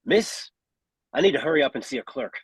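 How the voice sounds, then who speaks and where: A man playing an irritated, sarcastic unemployed man with a husky voice, indignant and exasperated in a script read. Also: mid-range voice, lively intonation, brisk, no room sound, studio quality. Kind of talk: table read of a horror script.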